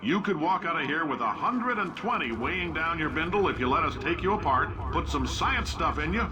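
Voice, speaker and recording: A man playing a brash, boastful male company boss who talks in loud, blunt salesman bluster over recorded announcements. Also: a little high, big pitch swings, fairly quick, a crowd in the background, poor audio. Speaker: game character. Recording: old announcement recording with audible noise.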